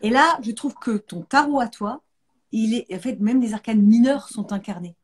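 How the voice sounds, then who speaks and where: Woman, live chat host, fairly high voice, informal, conversational, emphatic repetitions. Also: moderate pace, slightly rough. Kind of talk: casual conversational interview.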